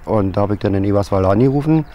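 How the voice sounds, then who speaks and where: A man's voice: lowish voice, fast talker, muffled recording. A man informally recalling events as a witness in an outdoor interview at a yard. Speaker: tow-truck worker, witness. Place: outdoors at a scrapyard. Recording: noisy outdoor location interview.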